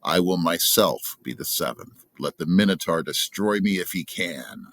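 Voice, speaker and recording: A brave young storybook prince, read by a man, earnest and defiant. The voice is very low and gravelly, in a dry recording. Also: animated, normal speed, a bit bright, no echo, exaggerated voice. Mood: resolute. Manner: earnest, resolute.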